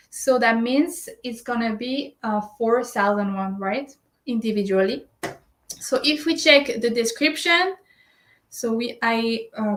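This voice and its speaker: High-voiced woman in a roomy space. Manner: explanatory, checks in with frequent "right?" and fillers. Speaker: shopping service presenter. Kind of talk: step-by-step screen tutorial. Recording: roomy echo.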